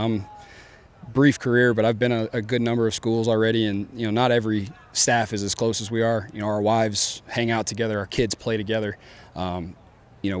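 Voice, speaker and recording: A man with an East Texas accent, a football coach giving casual, upbeat press conference answers full of fillers. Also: lowish voice.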